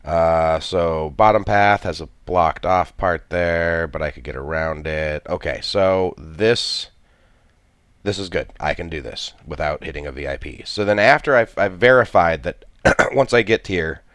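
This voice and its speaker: A man's voice: low voice, unhurried, fairly bright tone, no room sound, studio quality. A man with a stuffy cold, commenting on a strategy game he plays, thinking aloud with many restarts. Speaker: gameplay commentator.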